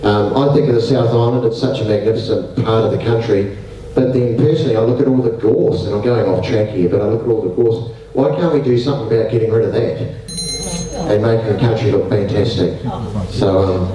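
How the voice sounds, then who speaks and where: Chatty, rambling New candidate. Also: male voice, lowish voice, a little fast, mellow. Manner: chatty, rambling, personal asides. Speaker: political candidate. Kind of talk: candidate forum answer. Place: community centre hall. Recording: echoey hall, distant mic.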